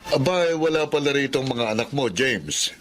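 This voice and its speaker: A man's voice: medium pitch, lively intonation, normal speed, natural voice. An actor playing an encouraging, kindly older man in a Filipino radio drama.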